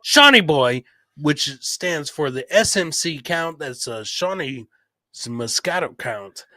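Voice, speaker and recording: A man hosting a casual livestream podcast, effusively plugging and shouting out his guests with profane, brotherly familiarity. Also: fairly high pitch, lively intonation, takes it slowly, no room sound. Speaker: podcast host. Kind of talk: casual livestream chat with plugs and shout-outs.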